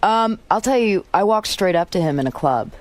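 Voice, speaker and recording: A woman's voice: mid-range voice, brisk. A middle-aged woman talking frankly as a songwriter guest on a radio show. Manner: frank, animated storytelling. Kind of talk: radio interview.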